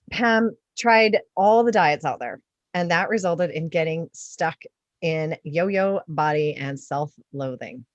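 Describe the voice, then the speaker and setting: A woman's voice: on the low side, no echo, lo-fi sound. A woman hosting a podcast interview in a casual, enthusiastic and emphatic way. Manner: effusive, emphatic. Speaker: podcast host.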